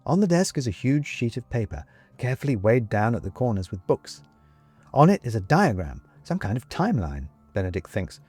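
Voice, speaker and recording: Expressive man reading a story. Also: on the low side, husky. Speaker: story reader. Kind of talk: expressive scripted audiobook narration.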